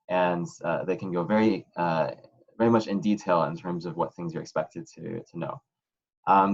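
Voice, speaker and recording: A young man with a low voice moderates an online panel from home. He speaks in a loose, easygoing way, full of ums and uhs. The video call audio sounds muffled and a little dull. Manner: relaxed, many "um"s and "uh"s.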